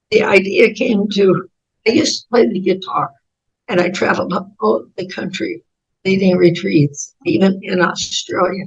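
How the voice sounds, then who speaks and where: An 88-year-old American woman with a raspy voice, telling reflective personal stories as an interview guest in halting, thoughtful phrases. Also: mid-range voice, fairly even intonation, highs cut off. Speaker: interview guest, author and speaker.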